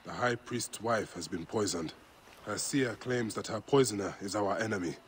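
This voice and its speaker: An actor playing a grave, determined Egyptian man who investigates and muses aloud in a measured way, in a video game. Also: lowish voice, slight background noise, natural voice. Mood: grave, dry. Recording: music behind the voice. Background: music.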